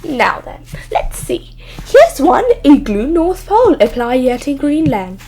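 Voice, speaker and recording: A house-proud storybook witch, played by a woman reading aloud, high in pitch. She puts on a polite front that is not sincere. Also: sing-song, unhurried, warm tone, heavily acted. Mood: brisk, coaxing. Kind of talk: children's storybook character voice.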